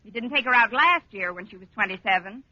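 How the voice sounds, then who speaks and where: An actress playing a wry woman schoolteacher with deadpan sarcasm and crisp timing in a 1940s radio comedy. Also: on the high side, quick pace, natural voice. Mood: dry, jealous. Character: wry, wisecracking woman high school teacher. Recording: muffled noisy old radio recording.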